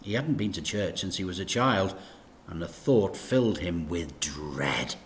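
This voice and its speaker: A man reading a children's story aloud in an expressive, teacherly narration. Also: sits low, unhurried, a little hiss or hum.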